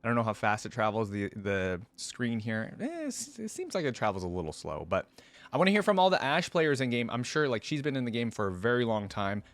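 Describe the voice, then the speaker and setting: A man's voice: medium pitch, very animated, a little fast, fairly bright tone, no room sound. A man giving casual, conversational reaction commentary on a video game, rambling with frequent asides.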